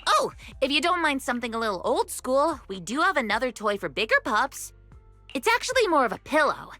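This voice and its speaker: Eager, bright-voiced young shop clerk. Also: female voice, high voice, very animated, brisk, dry recording, soft background music, heavily acted. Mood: helpful. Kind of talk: anime-style game voice acting.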